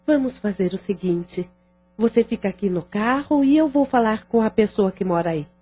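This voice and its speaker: A woman's voice: mid-range voice, expressive, normal speed, breathy edge. A woman playing a practical, supportive adult sister in an audio drama, polite, formal and direct. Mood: composed, polite. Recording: dull lo-fi audio with music underneath.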